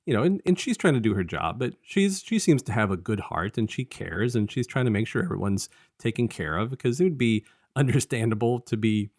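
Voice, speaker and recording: A man with a fairly low voice chats casually as a review co-host. He rambles and restarts his thoughts as he goes. The sound is close and dry, with very little room in it. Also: animated, a little mellow, no echo. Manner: halting and rambling, often restarting sentences. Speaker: podcast co-host.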